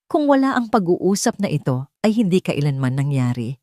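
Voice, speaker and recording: A woman's voice: low-pitched, big pitch swings, moderate pace, dry recording, clean studio sound. A woman voicing a cold, commanding billionaire father in an audiobook, speaking curtly and directly. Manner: direct, quietly threatening, no shouting.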